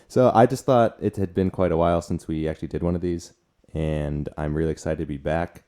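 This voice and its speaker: Male podcast co-host, 20s. Lowish, dark relaxed voice, informal halting chat. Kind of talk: casual chat.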